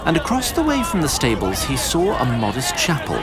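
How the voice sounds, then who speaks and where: A man narrating a scripted fantasy story in an ornate, theatrical and deliberate manner. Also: fairly high pitch, huge pitch swings, over a noisy crowd. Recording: music behind the narration.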